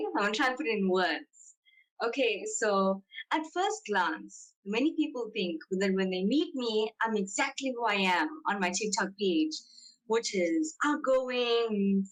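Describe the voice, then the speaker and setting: A young adult woman with a South African accent and a fairly high voice. She chats casually as an interview guest, talkative and self-deprecating, often slipping into little acted-out quotes. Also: a bit bright, poor audio. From South Africa, Johannesburg and Durban. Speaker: podcast guest, content creator.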